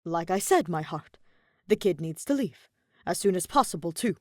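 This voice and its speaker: A woman's voice: fairly low pitch, expressive, moderate pace, no room sound, studio quality. A woman voicing a doting but menacing royal husband in a fantasy story, soothing and authoritative. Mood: coaxing, firm. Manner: soothing, pretentious.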